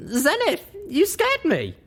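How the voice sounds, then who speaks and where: A man's voice: very high-pitched, huge pitch swings, slow, a bit bright, voice pushed up, exaggerated voice. A man voicing a young female pony heroine from a storybook, flustered, earnest and caring. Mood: startled, flustered. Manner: flustered, hesitant. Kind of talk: storybook character voice.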